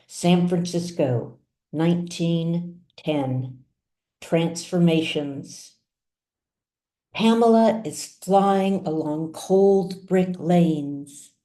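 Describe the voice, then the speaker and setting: A woman narrates a story in a low, mellow voice. She reads very slowly and deliberately, pausing for drama like an audiobook storyteller. The recording is noticeably roomy, with echo around the voice. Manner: measured, deliberate phrasing with dramatic pauses. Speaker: author reading her own serialized novel.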